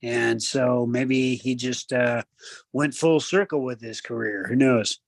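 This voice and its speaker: A man with a medium-pitched voice gives commentary as a radio co-host. He is measured and conversational, slipping into preacherly asides to his listeners. The recording is close and dry. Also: steady tone, creaky, no room sound. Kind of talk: casual talk show commentary.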